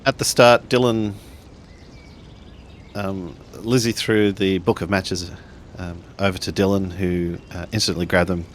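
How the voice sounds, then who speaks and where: A man narrating a tabletop roleplaying game in a halting way, building his sentences bit by bit. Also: low-pitched, steady tone, a little hiss or hum. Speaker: tabletop RPG player.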